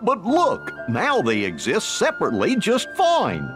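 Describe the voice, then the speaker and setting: An actor voicing a goofy, good-hearted cartoon dog sidekick in a video game, folksy and encouraging. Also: male voice, sits high, wildly swooping pitch, a little slow, music in the background, full character voice.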